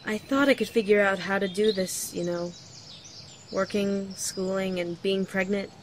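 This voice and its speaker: A young woman playing a weary student and mother-to-be, gently and earnestly reading out a letter in an audio drama. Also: mid-range voice, steady tone, measured pace, slight background noise, close to an everyday voice. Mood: sad, tender. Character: weary pregnant young student writing to her father. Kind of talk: letter read aloud in horror audio drama.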